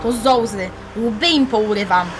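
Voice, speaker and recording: A woman's voice: fairly high pitch, measured pace, background noise, close to an everyday voice. A woman acting an annoyed, feisty young friend in a radio drama, blunt and slangy. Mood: irritated.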